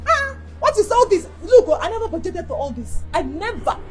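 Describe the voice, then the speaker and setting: An actress playing a scornful wife who loudly demands money from her injured husband, speaking. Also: very high voice, sing-song, moderate pace, soft background music. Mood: demanding. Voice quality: loud, scornful and nagging.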